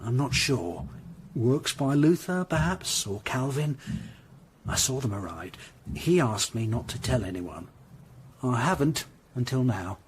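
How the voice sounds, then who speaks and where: A man's voice: mid-range voice, lively intonation, a little slow, slight background noise, lightly acted. An actor playing an anxious, hesitant Tudor master tradesman giving reluctant, deferential witness in a period radio drama. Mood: deferential, confiding. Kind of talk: period audio drama acting.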